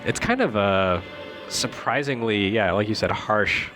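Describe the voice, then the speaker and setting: A man's voice: fairly low pitch, a little slow. A man giving casual, opinionated and wry commentary on video games. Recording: music behind the voice.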